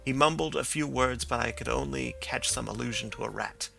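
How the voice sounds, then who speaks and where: A troubled young man on the stand, answering in a hesitant, guarded way, as a male narrator reads him. The voice is gravelly and crackling with a bright, tense edge. It comes from an audiobook of a classic story. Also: mid-range voice, fairly quick, soft background music.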